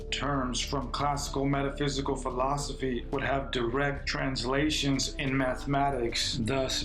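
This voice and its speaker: A man with a raspy voice narrating a book summary as host, reading steadily and then signing off casually. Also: medium pitch, rough recording. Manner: steady read-aloud delivery, relaxed sign-off.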